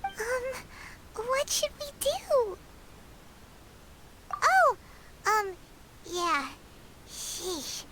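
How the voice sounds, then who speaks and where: A woman voicing a small, timid, childlike sprite companion in a video game, with a squeaky, cartoonish voice. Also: big pitch swings, drawn-out words, long pauses, background noise, exaggerated voice. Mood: worried, hesitant.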